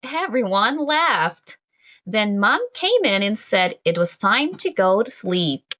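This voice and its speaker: A woman reading a children's story aloud from a script, in an expressive, deliberate way for young listeners. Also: mid-range voice, muffled recording. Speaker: storybook reader.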